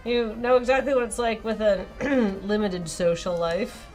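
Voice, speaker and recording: A woman's voice: mid-range voice, fairly even intonation, unhurried, darkish tone, faint music behind. A woman streamer playfully reading a game's dialogue aloud and chatting casually. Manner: playful, giggly.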